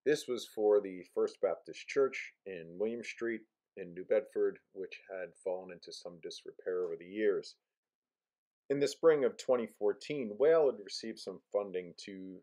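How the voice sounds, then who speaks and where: A man in his thirties narrating a restoration project for his company in a steady, explanatory way, with many filler sounds. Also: on the low side, moderate pace.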